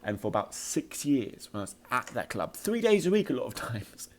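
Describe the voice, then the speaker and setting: A man giving an informal motivational talk as a podcast host, with a slight occasional stutter and a halting, emphatic delivery that repeats words. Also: medium pitch, very animated.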